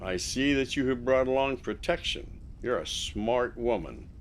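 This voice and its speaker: An actor playing a courteous, watchful sheriff's officer in an audio drama, with a polite, folksy manner. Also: male voice, mid-range voice, lively intonation, measured pace, a little hiss or hum.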